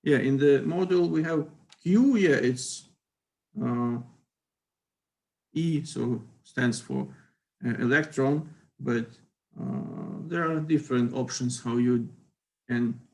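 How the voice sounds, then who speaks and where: Slow, gravelly male lecturer. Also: mid-range voice, sing-song. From Ukraine. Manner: hesitant, many fillers, careful non-native phrasing. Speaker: physics lecturer. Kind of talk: university lecture.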